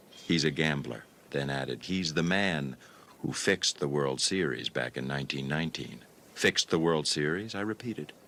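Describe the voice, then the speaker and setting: A man's voice: very deep voice, pushed and bright, slight background noise. A man giving a narrated reading of a classic novel, acting out the dialogue. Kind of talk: dramatic audiobook-style reading of a classic novel.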